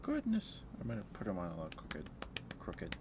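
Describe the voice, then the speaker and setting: A man chatting in a rambling, affectionate ASMR style to live viewers, speaking softly into a close microphone. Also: deep voice, wildly swooping pitch, brisk. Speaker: ASMR livestreamer. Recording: clear background noise.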